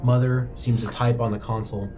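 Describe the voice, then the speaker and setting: A man runs a tabletop roleplaying game as its game master. His narration is dramatic and immersive, pitched in a middle register. Music plays clearly underneath him. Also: flat delivery, a little fast. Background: background music.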